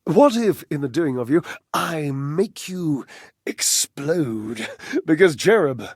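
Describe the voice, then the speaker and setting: A man playing a flamboyant, flirtatious, theatrical living appliance in a dating game, gushing with pet names and drawn-out words. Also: on the high side, animated, husky, no room sound, studio quality, heavily acted. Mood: dramatic, teasing. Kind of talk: dating-game character voice acting.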